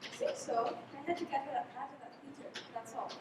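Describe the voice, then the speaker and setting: A woman playing a frightened, mysterious woman in trouble in a radio play, pleading and secretive in an anxious, hushed way. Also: high-pitched, fast talker, natural voice. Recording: echoey hall from far off, noisy.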